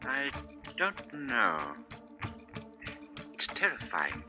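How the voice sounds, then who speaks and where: Music plays behind an uneasy, deferential editor in a 1950s radio drama. His voice is mid-pitched with lively inflection, earnest and hesitant. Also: drawn-out words, full character voice. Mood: uneasy, urging. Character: Jenkins, deferential, uneasy male book editor.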